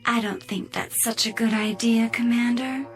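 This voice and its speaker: An actress playing a polite, solicitous spaceship computer in a sci-fi radio drama, measured and literal-minded with a needling edge. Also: female voice, on the high side, level tone, faint music behind, lightly acted. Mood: polite concern.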